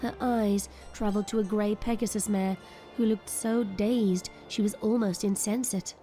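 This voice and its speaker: A woman narrates an audio drama in a warm, dark-toned voice of medium pitch. The delivery is scripted, dramatic storytelling, with faint music behind it. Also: fairly even intonation, normal speed.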